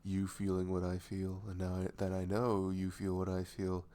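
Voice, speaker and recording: A man playing a nervous, dorky best friend confessing love in an intimate ASMR roleplay, halting and stammering, with sighs and soft breathy moments. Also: sits low, monotone, slight background noise, natural voice. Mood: elated, intimate. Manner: halting, stammering, sighing, breathy laughs, often dropping to whispers. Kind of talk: roleplay ASMR audio drama, intimate and conversational.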